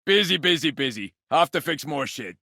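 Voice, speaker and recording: A man's voice: fairly high pitch, expressive, a little fast, bright, forceful tone, no room sound, clean studio sound, exaggerated voice. An actor voicing a cocky, grumbling blue-collar man in a video game, with an American accent and a brash, colloquial delivery. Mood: busy, smug. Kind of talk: video game character voice acting.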